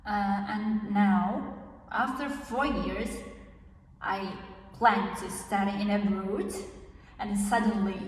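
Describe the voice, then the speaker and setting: A young woman from Mongolia whose first language is Kazakh, chatting casually and haltingly as she searches for words. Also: mid-range voice, slight background noise. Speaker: vlogger, international student. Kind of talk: casual chat. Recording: echoey room, mic at a distance.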